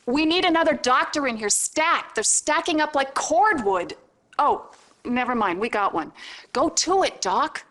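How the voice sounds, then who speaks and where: A woman's voice: high voice, expressive, a little slow, fairly bright tone. An actress playing a sassy, no-nonsense admitting nurse in a video game, bossy and informal in the way she talks. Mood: urgent, brisk. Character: brassy, wisecracking, no-nonsense hospital admitting nurse. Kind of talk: scripted video game cutscene acting.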